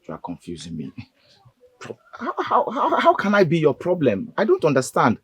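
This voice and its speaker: Guy playing a nervous defensive friend, breathy voice, pitch jumping around a lot. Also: huge pitch swings, moderate pace, fairly bright tone, no echo, full character voice. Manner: pleading, protesting innocence. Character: anxious defensive young man caught between friends.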